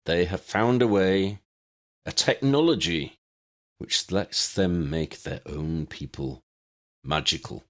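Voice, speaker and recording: A man voicing a charismatic wizard agitator in an audiobook, making a grave, rallying speech with emphatic stresses and dramatic pauses. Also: low-pitched. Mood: indignant, urgent. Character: charismatic male wizard demagogue rallying a meeting, impassioned and grave.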